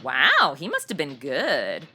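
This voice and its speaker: An actress playing a flighty, self-absorbed young podcaster in a comedy audio drama, chatty, slangy and gushing. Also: female voice, low voice, huge pitch swings, takes it slowly, bright, forceful tone, slight background noise, heavily acted. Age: young adult.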